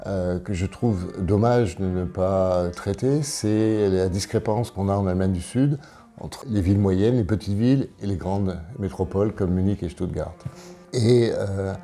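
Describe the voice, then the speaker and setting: A man of sixty-two from Paris, presenting himself as an election candidate in an interview, speaking in a halting, deliberate way. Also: low voice, mellow. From Paris, France. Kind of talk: interview answers, self-presentation.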